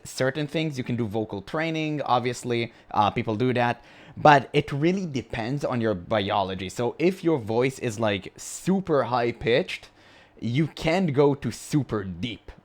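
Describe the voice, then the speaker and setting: A man hosting a reaction video in casual commentary, with a high-pitched voice and a blunt, mocking way of talking. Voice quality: high-pitched voice, by his own account. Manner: blunt and mocking, laughs often.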